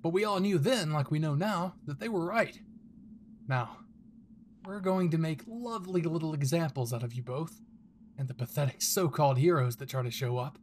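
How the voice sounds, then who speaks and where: A man's voice: on the high side, moderate pace, a little mellow, slight background noise, lightly acted. A man voicing a cruel, sneering male villain henchman in an anime fan audio drama, theatrically mocking and taunting.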